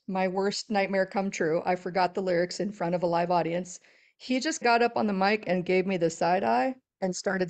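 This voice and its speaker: A middle-aged woman with a deep, low-sitting voice chats as a podcast guest. She tells her stories with animation and an easy laugh, in a relaxed, conversational way. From Texas. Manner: animated storyteller, laughs often. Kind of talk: casual interview chat.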